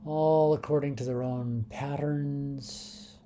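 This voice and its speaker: Very dark, mellow, slow male meditation guide. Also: medium pitch, a little hiss or hum. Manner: calm, unhurried, with long pauses between short phrases.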